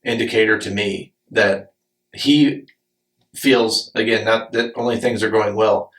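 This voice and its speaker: Hoarse, raspy man coaching on a podcast. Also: lowish voice, fairly even intonation, measured pace, fairly bright tone, reverberant, distant mic, low-quality recording. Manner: candid, swears freely, many restarts. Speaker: podcast host and business coach. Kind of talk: casual podcast conversation. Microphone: studio microphone.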